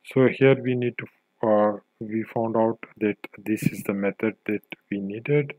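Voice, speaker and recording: Low-voiced man narrating a coding tutorial. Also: fairly even intonation, measured pace, a little mellow, no echo, poor audio. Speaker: software tutorial instructor.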